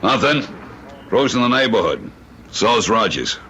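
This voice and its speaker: An actor playing a brisk, teasing policeman in a radio drama, with clipped, slangy delivery. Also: lowish voice, big pitch swings. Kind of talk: old-time radio drama acting. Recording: old radio recording with faint noise.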